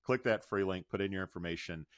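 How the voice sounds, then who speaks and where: Man, middle-aged, American accent, low voice, dry close-miked sound, podcast host banter. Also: fast talker, dry recording. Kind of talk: upbeat podcast banter and news reading. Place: indoor room at home, video call. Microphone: close podcast microphone.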